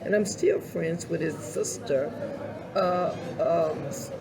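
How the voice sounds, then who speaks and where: Older woman, hoarse, slow delivery, earnest interview guest, background chatter. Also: fairly low pitch, low-quality recording. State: hoarse voice after illness. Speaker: podcast guest, community leader. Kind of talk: conversational interview.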